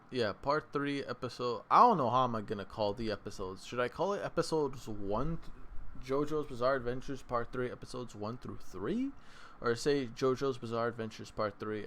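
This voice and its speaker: A man hosting his own channel, casually reviewing an anime late at night, rambling and restarting his sentences, with sudden excited bursts. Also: mid-range voice, moderate pace, slight background noise. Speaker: anime reaction channel host. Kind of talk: casual solo review talk.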